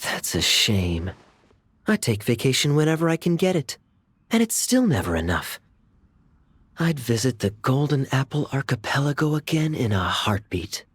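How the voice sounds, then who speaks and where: A man voicing a suave, easygoing knight in a video game, talking in a smooth, teasing way. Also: mid-range voice, sing-song, normal speed. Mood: playful, coy. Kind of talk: video game character dialogue.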